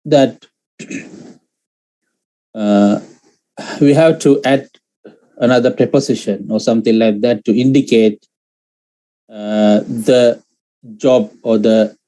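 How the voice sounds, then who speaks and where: A man whose first language is Sinhalese, teaching Pali grammar in an online lecture, speaking in halting phrases with many fillers and restarts. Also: mid-range voice, warm tone, low-quality recording. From Sri Lanka.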